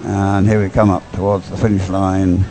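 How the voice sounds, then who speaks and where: Low, mellow male race commentator, hesitant. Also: level tone, normal speed, soft background music. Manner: hesitant, rambling, stop-start delivery. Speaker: live sports commentator.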